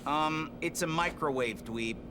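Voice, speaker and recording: Man as a sarcastic, high-voiced tough teen turtle. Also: unhurried, noisy background, natural voice. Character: sarcastic, impatient tough teenage male mutant turtle. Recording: music behind.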